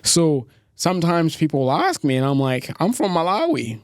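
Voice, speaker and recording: A young man from Tanzania, a podcast guest talking casually, full of restarts and quick laughs. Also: fairly high pitch, huge pitch swings, dry recording, studio quality. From East Africa, born in Tanzania. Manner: halting, many restarts and "like", laughs easily. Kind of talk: casual interview chat.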